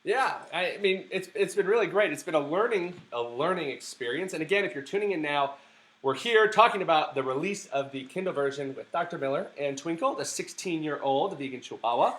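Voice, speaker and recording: A man with a hoarse, sore throat hosting a casual live stream from a family home, talking with eager conviction and plenty of ums and restarts. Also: on the high side, animated, brisk, a bit bright. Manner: enthusiastic, preachy advocacy with many fillers and restarts.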